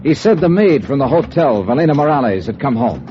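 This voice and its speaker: An American man playing a hard-boiled investigator who narrates his case with brooding, deliberate drama in a 1950s radio serial. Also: medium pitch, sing-song, background noise, close to an everyday voice. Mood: tense. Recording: muffled 1950s radio recording with music.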